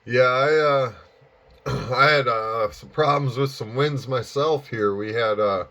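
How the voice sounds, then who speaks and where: A man making casual amateur radio small talk in a halting, folksy way, with a dull, muffled sound. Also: medium pitch, pushed and bright. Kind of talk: casual radio contact chat.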